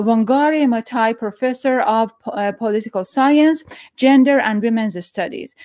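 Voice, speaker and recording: Brief: female interview host, middle-aged, bright-pitched, dry studio-close mic, hesitant delivery. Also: dry recording. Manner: halting, many fillers and restarts. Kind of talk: hosted video interview. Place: home study with bookshelves. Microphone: headphones and desk mic.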